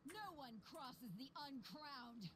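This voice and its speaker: A menacing female video game character, territorial and threatening. Her high voice draws the words out slowly, with faint noise behind it. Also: very animated, a bit bright, heavily acted.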